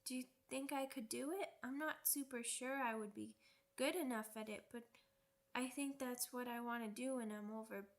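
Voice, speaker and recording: A woman's voice: a little high, steady tone, moderate pace, close to an everyday voice. A woman playing a young werewolf pup in an ASMR roleplay, childlike, cheeky and earnest, with pouty, rambling speech. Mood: vulnerable, earnest. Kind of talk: ASMR roleplay audio drama.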